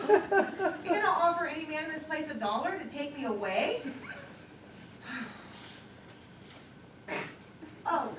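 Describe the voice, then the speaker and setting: An actress plays a self-conscious, chattering woman on an awkward date in a stage play. Her voice is high and theatrical, and she rambles at an unhurried pace. It is heard from a distance in an echoey theater, with clear noise over the recording. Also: heavily acted. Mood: giddy, nervous. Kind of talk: live one-act stage play monologue.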